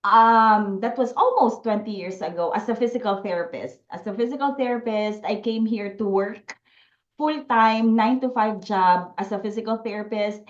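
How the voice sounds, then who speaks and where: A woman's voice: medium pitch, moderate pace. A woman in her forties from the Philippines hosts an online selling workshop, mixing Tagalog and English and checking in with "okay?" over and over. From Philippines, Bicol. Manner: chatty, mixes Tagalog and English, frequent "okay?" check-ins. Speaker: Amazon selling workshop host. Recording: echoey room, slightly muffled audio.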